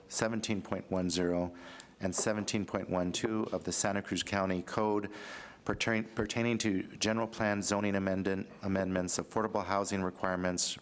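Deep-voiced older man reading agenda corrections. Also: a little hiss or hum. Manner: halting, reads with fillers. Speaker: county administrator. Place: large county board chamber. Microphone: desk microphone.

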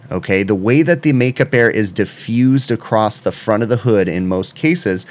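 A man, an HVAC technician, giving an instructional explanation step by step, often checking in with the listener. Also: lowish voice.

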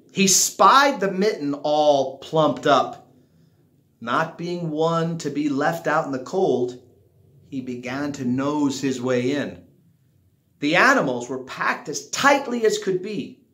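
A man's voice: fairly high pitch, fairly bright tone. A middle-aged man reading a children's picture book aloud at home, stressing and drawing out key words as a storyteller. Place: small living room at home, indoors. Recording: roomy, echoey home recording.

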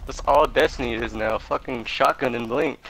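A young male streamer with a mid-pitched, creaky voice talks casually through a shooter match. He swears and uses gamer slang, with clear gunfire noise behind him. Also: normal speed, fairly bright tone, poor audio. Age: young adult. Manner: profane, slangy. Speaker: gaming streamer. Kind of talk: casual gameplay callouts.

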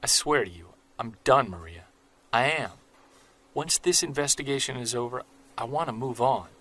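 An actor playing an earnest, soft-spoken Bigfoot investigator who narrates his own story in an audio drama. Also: medium pitch, moderate pace. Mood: pleading.

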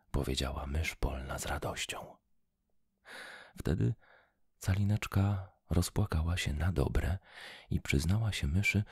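A man reading a fairy tale aloud in a soft, slow whisper. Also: low-pitched, gravelly, breathy edge, dry recording, studio quality. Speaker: storyteller.

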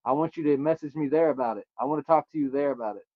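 A man's voice: on the high side, racing, no room sound, phone-quality sound. A man doing a prank call on a livestream, bantering in a flirtatious, crude, effusive and slangy way. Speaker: live streamer making a prank call.

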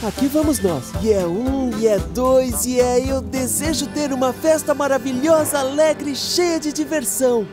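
A woman's voice: high voice, a little slow, hardly any pauses, loud music behind the voice. A woman with a Brazilian accent voicing a cheerful, helpful young boy steam engine in a children's cartoon dub, eager and friendly.

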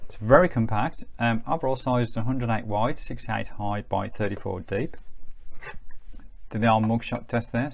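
Low, creaky-voiced middle-aged man reviewing gadgets. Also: darkish tone. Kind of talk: casual product review.

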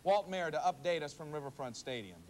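Higher-voiced man anchoring live news. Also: lively intonation, a little fast, darkish tone. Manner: conversational anchor ad-libbing. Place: TV news studio.

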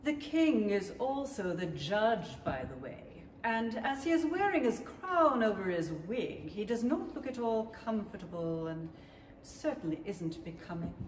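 A woman's voice: mid-range voice, sing-song, unhurried. A woman giving droll scripted narration in a 1930s-style radio play. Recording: echoey theatre, distant mic, hiss.

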